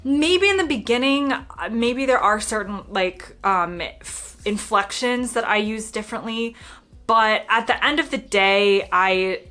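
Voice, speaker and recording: A woman's voice: fairly high pitch, measured pace, fairly bright tone. A young American woman from Florida, chatting casually and upbeat to camera in her vlog. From United States (Florida). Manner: chatty, upbeat, lots of fillers. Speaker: vlogger.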